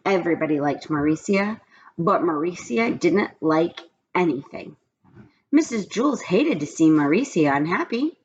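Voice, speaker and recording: A woman reads a children's story like a classroom teacher, with highly varied, expressive intonation. The recording is slightly muffled, with faint background noise. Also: normal speed. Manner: expressive, simple phrasing for children. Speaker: teacher reading aloud to pupils. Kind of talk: children's storybook read-aloud.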